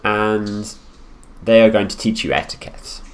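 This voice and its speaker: A man voicing a haughty, possessive prince in an improvised ASMR roleplay, speaking to the listener with condescension and deliberate pauses. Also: fairly low pitch, smooth, voice pushed up, lightly acted. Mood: smug, matter-of-fact. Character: haughty possessive young prince, heir to the throne, coolly menacing.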